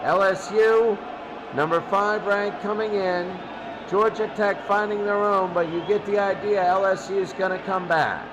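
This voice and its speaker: Male football score host, high voice, unhurried clipped fragments, noisy recording. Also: fairly even intonation. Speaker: sports studio host. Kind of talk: off-the-cuff college football score commentary. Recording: clearly noisy background.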